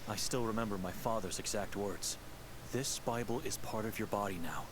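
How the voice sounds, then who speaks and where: An actor playing a devout, earnest monk in a horror game, speaking in a formal way. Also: male voice, lowish voice, normal speed, natural voice. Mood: brooding. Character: devout, indignant male monk detained in strange town. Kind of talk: voice acting in a horror detective game. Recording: clear background noise.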